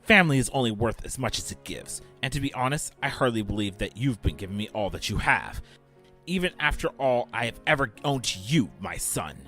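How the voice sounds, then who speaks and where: A man performs a cold, domineering fashion-mogul father for a fan audio drama. His voice is forceful and bright, with dramatic swings in pitch. He speaks in stern, clipped commands over a music bed. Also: very animated, brisk.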